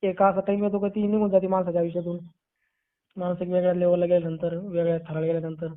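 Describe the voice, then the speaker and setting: A man's voice: high-pitched, level tone, fast talker, clean tone. A man with a Marathi accent talking casually on a tinny phone call, reassuring and advising, often repeating his phrases. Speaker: friend giving reassurance. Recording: narrow tinny phone line.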